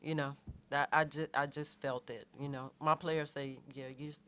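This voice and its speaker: A middle-aged woman, a head coach answering questions in a halting way, with many fillers and restarts. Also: low voice, flat delivery. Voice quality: soft voice. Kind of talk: postgame press conference answers.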